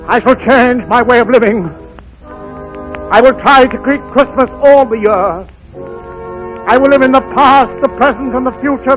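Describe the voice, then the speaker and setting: A repentant old miser in a 1930s radio drama. His voice is high and anguished, with slow, theatrical delivery and faint music behind him. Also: rough recording.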